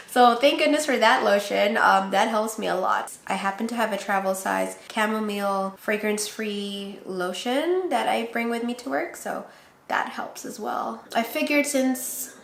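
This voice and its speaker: A woman's voice: mid-range voice, creaky, a bit bright, slight background noise. Chatty Filipino-accented woman in a roomy space. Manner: chatty, laughs often, self-teasing. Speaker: pregnancy vlogger. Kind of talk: casual chat with viewers. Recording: roomy echo.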